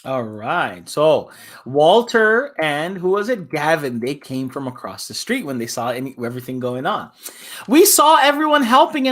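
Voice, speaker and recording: Man with very lively, swooping story-time delivery. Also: fairly high pitch. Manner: casual, explains the story in simple words. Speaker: imam hosting a children's story time. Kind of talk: animated read-aloud with casual recaps.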